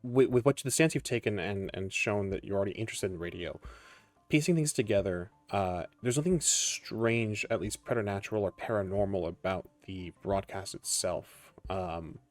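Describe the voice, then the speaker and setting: A man working as game master, improvising tabletop narration in a halting, deliberate way with many pauses. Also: on the low side, dry recording. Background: background music.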